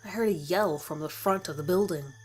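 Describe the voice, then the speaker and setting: A woman's voice: fairly low pitch, fairly quick, slightly rough, poor audio. A woman reading a first-person horror story as a dramatic scripted narration. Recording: music behind the voice. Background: music.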